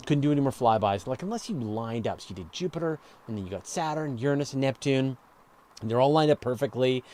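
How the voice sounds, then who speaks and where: Male live Q&A host, relaxed warm timbre, medium pitch, casual unscripted explanations with stressed key points. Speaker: host of a live space and astronomy Q&A show. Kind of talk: casual explanatory Q&A, answering viewer questions off the cuff.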